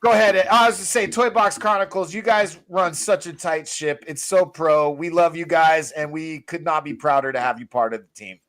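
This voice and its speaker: A man with a moderately high voice talks on a livestream as a guest. He speaks informally, promoting his show in a chatty way. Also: steady tone, normal speed, hardly any pauses, a bit bright. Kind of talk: casual promotional livestream chat.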